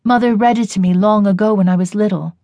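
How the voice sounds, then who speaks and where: A woman's voice: mid-range voice, fairly even intonation, fast talker, warm tone, dry recording, dull audio, natural voice. A woman voicing an earnest weary young woman.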